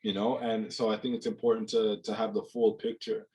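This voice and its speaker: A young man with a fairly low voice talks over a video call from a room at home. He is from Jamaica and speaks thoughtfully, circling back with "you know" and restarting his words as he works through an idea. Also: little pitch movement, brisk, mellow. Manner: reflective, many "you know" fillers and repeated words. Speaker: guest, mental health speaker. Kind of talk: casual interview conversation.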